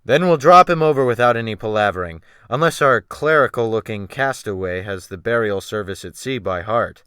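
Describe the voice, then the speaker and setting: Man voicing a male sea captain, audiobook drama, cold, suavely menacing, commanding, hard bright edge, dry recording. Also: lowish voice, lively intonation, a little fast, dry recording. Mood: barking command. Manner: smooth, then snapping sharply into loud orders. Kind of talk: dramatic audiobook character reading.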